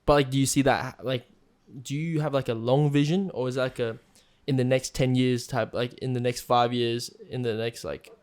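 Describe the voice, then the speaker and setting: A young man co-hosting a podcast, chatting casually, relaxed and curious, with lots of "like"s. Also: medium pitch, normal speed, hardly any pauses, clean tone. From Australia. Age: young adult. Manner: asks questions, relaxed, heavy use of "like". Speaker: podcast co-host. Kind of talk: casual chat.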